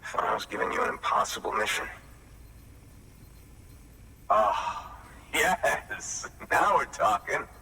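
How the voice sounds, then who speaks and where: An actor playing a gruff, wary middle-aged survivalist weapon maker in a video game, with a gravelly voice and a folksy, blunt drawl. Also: male voice, on the low side, big pitch swings, takes it slowly, pauses often, sharp tone, heavily acted. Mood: amazed, grateful. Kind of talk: video game cutscene acting. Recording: slightly distant mic, faint background noise.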